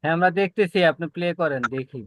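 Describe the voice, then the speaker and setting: Very fast-talking man, Bangladeshi accent. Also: on the high side, dry recording. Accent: Bangladeshi Bengali. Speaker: participant in online class. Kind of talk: informal group call discussion.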